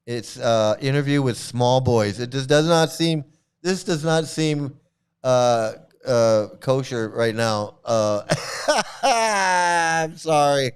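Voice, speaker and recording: A man's voice: mid-range voice, animated, takes it slowly, clean tone. A man hosting a casual reaction video, laughing often and repeating himself as he talks. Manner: laughs often, repeats phrases, stammering restarts.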